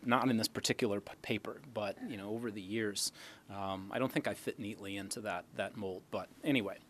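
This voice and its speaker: A man's voice: lowish voice, fairly even intonation, fairly quick, a bit bright. A middle-aged man answering audience questions as an academic speaker in a lecture hall, explaining through rhetorical questions. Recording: noisy lecture hall recording.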